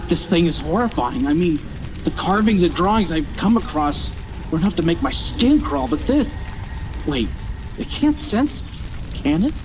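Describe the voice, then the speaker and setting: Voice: mid-range voice, sing-song, normal speed, background noise, lightly acted. An actor playing a nervous, inquisitive listener to old legends in a fantasy audio drama. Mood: frightened. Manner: uneasy, questioning.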